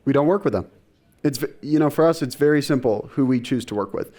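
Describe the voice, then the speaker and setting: A young man, a startup co-founder on a conference panel, answering in a measured way and reasoning step by step. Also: medium pitch, mellow. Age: young adult. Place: conference stage before an audience.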